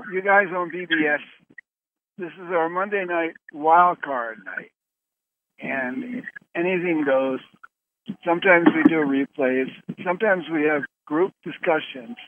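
A man hosting a conference call in a casual, rambling way, pausing often mid-sentence, heard over the telephone. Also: on the high side. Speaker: host of a conference call show. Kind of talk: casual, rambling talk to callers. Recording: narrow phone line with background noise.

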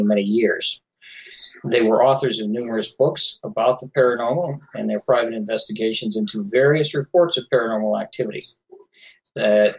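Earnest talk-radio host, slightly hoarse. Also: male voice, lowish voice. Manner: earnest, lecturing, addresses listeners directly. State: losing his voice. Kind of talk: solo talk radio monologue. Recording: slightly dull lo-fi audio.

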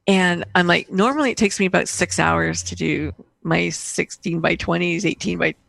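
Gravelly, lower-pitched woman telling stories. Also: moderate pace, no echo. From Austria. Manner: animated storyteller, acting out reported dialogue. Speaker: guest, painter and coach. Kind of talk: casual interview storytelling.

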